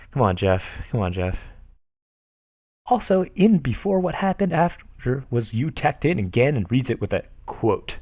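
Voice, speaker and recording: Streamer, male, lively and mocking, dry close mic. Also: on the low side, no echo. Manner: mocking, animated, laughs often. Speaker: streamer reading forum posts aloud. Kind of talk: casual dramatic reading with commentary.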